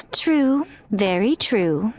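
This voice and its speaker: An actress playing a sly, elegant shrine priestess in a video game, playfully teasing and theatrically coy. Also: female voice, fairly high pitch, sing-song, very slow, slight background noise, heavily acted. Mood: knowing, coy.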